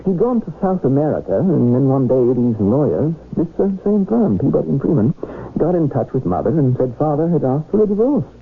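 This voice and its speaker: A man acting an earnest young struggling doctor and husband in an old-time radio drama, expressive and well-spoken, on a noisy recording. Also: fairly high pitch, fairly quick, creaky, husky, narrow, tinny audio, full character voice. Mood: intrigued, candid.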